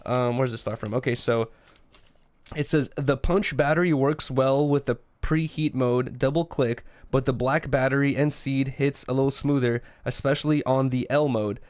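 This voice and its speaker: A man's voice: medium pitch, fairly even intonation, normal speed, darkish tone, no echo, studio quality. A young man from Russia, co-hosting a casual podcast, rambling with lots of fillers and swearing. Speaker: podcast co-host.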